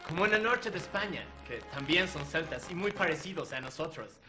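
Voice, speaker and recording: A man playing a proud Irish deserter captain, recounting his past in a measured, earnest way. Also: a little high, lively intonation, close to an everyday voice. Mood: solemn. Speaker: guest in character. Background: music underneath.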